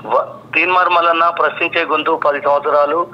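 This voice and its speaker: A man with a Telangana Telugu accent calls in to a live show by telephone, speaking haltingly and repeating words and phrases. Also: on the high side, fairly even intonation. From Nalgonda, Telangana, India. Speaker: phone-in caller. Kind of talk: live phone conversation. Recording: dull, noisy telephone line.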